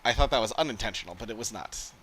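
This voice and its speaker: A man's voice: on the low side, animated, racing, sharp tone, a little hiss or hum, poor audio. A man chatting casually as he plays through a game on a livestream. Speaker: game streamer.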